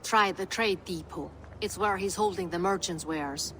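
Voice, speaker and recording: A low, dry-voiced Norse woman played by an actress, capable and sardonic. She speaks formally and measuredly in game dialogue, with some background noise. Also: moderate pace, a bit bright, close to an everyday voice. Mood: resolute. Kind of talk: dramatic video game dialogue.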